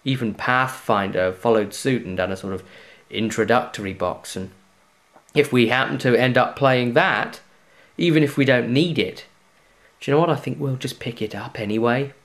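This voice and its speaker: A man's voice: mid-range voice, moderate pace, fairly bright tone. A man hosting a casual tabletop game unboxing, chatty and jokey with playful theatrical flourishes. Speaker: host of a tabletop game review show. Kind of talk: casual unboxing review to camera.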